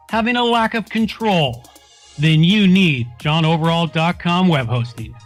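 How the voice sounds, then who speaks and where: Man, high voice, scripted commercial read, announcer, faint music behind. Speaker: advertisement announcer.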